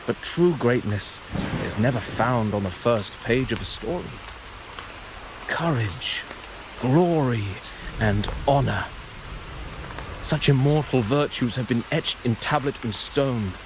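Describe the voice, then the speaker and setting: An actor playing a humble male storyteller poet in a video game, speaking in a measured, poetic, declamatory way. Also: medium pitch, background noise, close to an everyday voice. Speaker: game character. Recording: game audio with loud rain. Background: steady rain.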